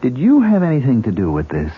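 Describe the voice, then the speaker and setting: An actor playing a tormented man who hears ghost voices in an old radio drama, agitated and husky. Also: medium pitch, fast, exaggerated voice. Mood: tense. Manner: agitated, swinging between calm and outbursts. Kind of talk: 1970s radio drama acting. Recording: muffled lo-fi old radio broadcast.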